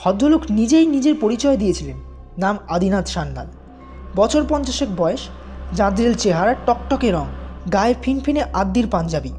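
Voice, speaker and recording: A man with a soft, mellow tone narrates a dramatic audio story. He tells it expressively and builds suspense, with music playing underneath. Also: sits high, big pitch swings, moderate pace. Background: background music.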